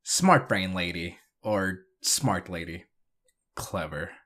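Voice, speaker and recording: A man's voice: deep voice, animated, slow, fairly bright tone. A man hosting and narrating a scripted recap, adding wry, dry asides. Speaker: video host and narrator.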